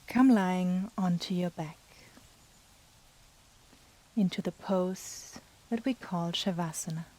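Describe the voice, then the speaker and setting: Woman, meditation guide, very slow pace, dark mellow tone, soft and breathy, long pauses. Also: fairly low pitch. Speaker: yoga nidra guide.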